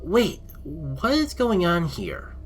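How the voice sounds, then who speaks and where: A spirited red-haired heroine read by a man speaks with fiery sass in a storybook adventure. The voice is lifted in pitch, with music underneath. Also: huge pitch swings, slow, exaggerated voice. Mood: alarmed.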